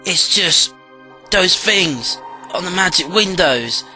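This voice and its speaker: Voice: mid-range voice, lively intonation, slow pace, sharp tone, exaggerated voice. A young actor playing an anxious, naive teenage boy from colonial times in an audio drama. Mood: anxious, overwhelmed. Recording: clear music behind the voice.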